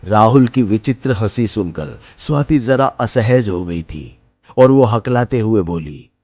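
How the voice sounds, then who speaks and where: A man's voice: on the low side, expressive, measured pace, no echo. A man narrating a scripted horror story in a dramatic storytelling style. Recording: loud music behind the voice. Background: music.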